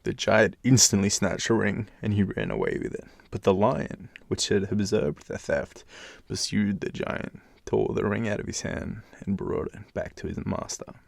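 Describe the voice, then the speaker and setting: Male Australian bedtime storyteller, heavy vocal fry, expressive, recorded close and dry. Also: lowish voice, normal speed, no room sound. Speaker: storyteller, channel host. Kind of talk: expressive bedtime fairytale narration with casual asides.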